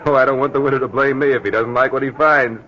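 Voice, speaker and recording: A man's voice: mid-range voice, steady tone, quick pace, heavy background noise, natural voice. An actor playing a jovial, curious man in a 1940s radio drama, talking in a cheerful, chuckling way. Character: jovial, curious man George who donated the trunk. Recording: dull old radio broadcast.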